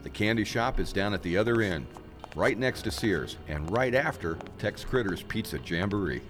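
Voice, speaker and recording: A man's voice: fairly low pitch, lively intonation, normal speed, a bit bright, long reverb tail, soft background music. A man acting in a radio play as a genial, mischievous guide with a folksy, wry, conversational manner. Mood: matter-of-fact, wry. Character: genial, mischievous man guiding visitor into hell.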